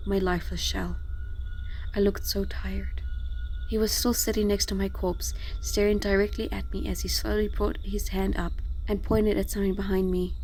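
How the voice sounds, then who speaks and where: A woman narrates a first-person horror story with a dark, mellow tone. Her delivery is sombre and controlled, with little pitch movement, over faint background music.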